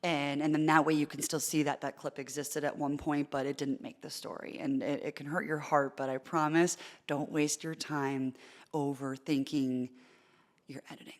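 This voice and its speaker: A thirty-year-old woman, a sports reporter, talking casually in an interview, deep-voiced, lively with lots of likes and restarts. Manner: animated, quick asides with filler likes and repeated starts. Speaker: sports reporter and in-arena host.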